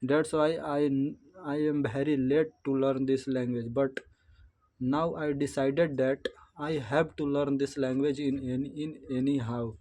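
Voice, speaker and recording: A young man from India, a native Hindi speaker, talking slowly in learner's English with an Indian accent and many restarts and self-corrections. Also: medium pitch, steady tone, warm tone, rough recording. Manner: halting, slow, frequent restarts and self-corrections. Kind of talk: unscripted practice monologue.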